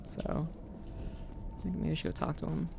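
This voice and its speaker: A man's voice: on the high side, normal speed, gravelly, noisy background. A man streaming a game, chatting casually and rambling with lots of fillers. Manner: rambling, relaxed, many fillers. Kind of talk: casual gameplay chat.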